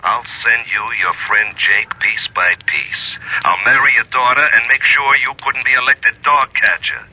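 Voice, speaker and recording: An actor playing a coolly ruthless exiled crime boss, taunting and deliberate, on the telephone in a 1970s radio drama. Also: male voice, mid-range voice, pushed and bright, low-quality recording. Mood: coldly threatening. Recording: vintage radio with faint noise.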